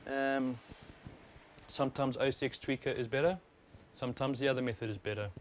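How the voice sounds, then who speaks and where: A man's voice: mid-range voice, brisk. A man with a South African accent giving casual, informal and explanatory live stream commentary on a wireless worn microphone. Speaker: livestream host, overclocker. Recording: clear steady background noise.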